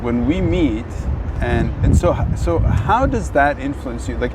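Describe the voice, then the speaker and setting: A male podcast host wanders through long questions and teases his guest. His voice is of middling pitch. He sounds set back from the mic, out in the open, with city traffic clearly audible around him. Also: expressive, measured pace. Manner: meandering, teasing questions. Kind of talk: casual interview. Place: outdoors at a busy waterfront pier. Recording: distant mic outdoors with loud traffic.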